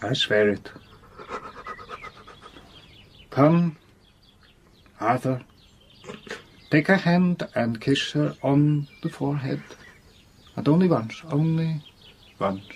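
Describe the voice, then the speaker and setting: An actor playing a grave, commanding learned mentor in an audio drama, speaking with theatrical, solemn emphasis. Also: male voice, on the high side, slow pace, pauses often, breathy edge, exaggerated voice.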